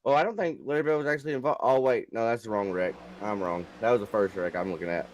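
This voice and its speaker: A man's voice: on the low side, quick pace, muffled recording, slight background noise. A man doing color commentary on a sim race broadcast, talking in a folksy, teasing, joking way. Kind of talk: casual race broadcast banter.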